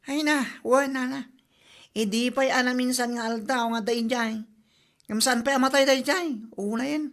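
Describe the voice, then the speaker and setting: A woman's voice: high-pitched, steady tone, normal speed. A woman playing a sassy, gossipy village friend with an Ilocano accent in a comedy drama podcast.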